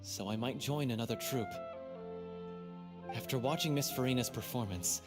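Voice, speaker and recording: An earnest theater performer in a video game. The voice is strikingly deep and sincere, and music plays clearly underneath. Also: female voice, normal speed.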